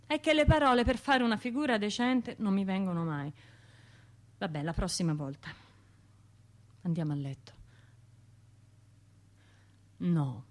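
An actress playing a troubled, sleepless Florentine woman in a radio monologue, with an intimate, brooding way of talking to herself in short broken phrases. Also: medium pitch. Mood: self-reproachful.

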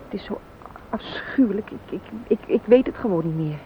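Actress as hesitant, distraught Dutch wife, dull recording. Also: female voice, animated, fairly quick, a little hiss or hum, close to an everyday voice. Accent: Netherlands Dutch. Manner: hesitant, stammering, pleading. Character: distraught, timid, apologetic wife of accused man. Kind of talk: radio play acting. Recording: dull, rumbly old radio play recording.

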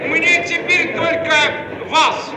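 Gushing servile painter, super high and animated, radio play, echoey noisy old recording. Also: male voice, takes it slowly, over a noisy crowd. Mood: fawning, rapturous. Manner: obsequious, effusive, exclamatory. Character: fawning, gushing male court painter, servile flatterer. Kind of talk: theatrical satirical radio play acting.

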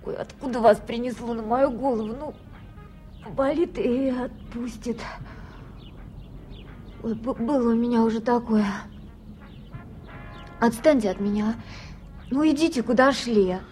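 A woman's voice: on the high side, unhurried, long pauses, mellow, natural voice. An actress in a radio drama playing a curt, irritable young female student who is in pain. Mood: pained, irritated. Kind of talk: Soviet radio drama acting. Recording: 1980s radio play, faint music.

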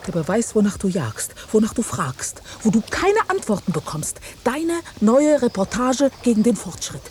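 An actress playing a challenging, teasing biologist in a German radio play, with pointed and deliberate phrasing. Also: female voice, fairly high pitch, very animated, a little mellow, slight background noise. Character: teasing, challenging female biologist, old friend of journalist.

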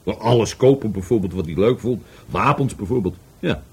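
A middle-aged businessman in a radio drama, evasive and volatile under police questioning. His low, rough voice swings widely in pitch as he stammers, rambles and repeats himself. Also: animated, moderate pace, a little mellow, exaggerated voice. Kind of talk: Dutch radio play acting.

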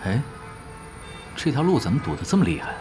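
A man's voice: medium pitch, huge pitch swings, quick pace, over music. A man playing a fretting traveller in an audio drama. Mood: worried.

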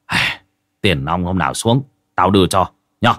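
A gruff older boat owner in a spoken ghost story, blunt and bossy, his low voice rising and falling as he barks orders, as a male narrator puts him on. The voice stays close to the storyteller's own. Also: lively intonation, moderate pace, sharp tone, no room sound.

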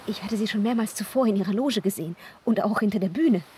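A woman's voice: mid-range voice, fast talker, warm tone, slight background noise, natural voice. An actress playing a guarded, fragile young woman with a secret, formal and restrained, in a radio play. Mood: hesitant.

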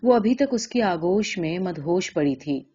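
A woman's voice: lowish voice, lively intonation, warm tone, husky, highs cut off. A woman narrator reading a literary short story as scripted narration.

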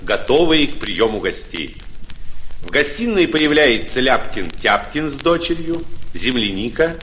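A man's voice: medium pitch, very animated, unhurried, a bit bright, rough recording. A man narrating stage directions for a 1940s radio play in a scripted, theatrical-broadcast style. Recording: old radio recording with background noise.

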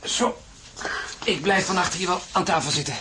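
An actor playing an army officer and attentive husband in an informal, gently teasing way in a radio drama. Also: male voice, high-pitched, normal speed, natural voice. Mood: calm, attentive. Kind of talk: Dutch radio drama acting. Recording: faintly noisy recording with clicks.

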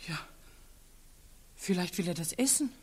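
An actress playing an excitable, alarmed daughter of a household in a 1940s German radio drama. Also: female voice, lowish voice, measured pace, breathy edge.

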